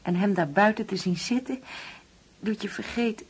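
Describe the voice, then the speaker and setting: An actress playing a wistful, uneasy older mother in a Dutch radio play, speaking hesitantly and brooding, at times hushed. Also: medium pitch, normal speed, breathy edge. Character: wistful, uneasy ageing mother grieving an absent son.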